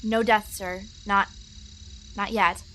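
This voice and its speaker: Woman doing a formal starship officer reporting under pressure, middling pitch, noisy background with clicks. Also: steady tone, takes it slowly, a bit bright. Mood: serious, controlled. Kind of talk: audio drama acting.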